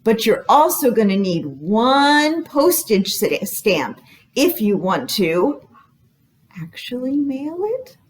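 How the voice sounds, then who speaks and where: A woman hosting a children's storytime as a librarian, speaking with animated, exaggerated intonation and putting questions to young listeners. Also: fairly high pitch, slow.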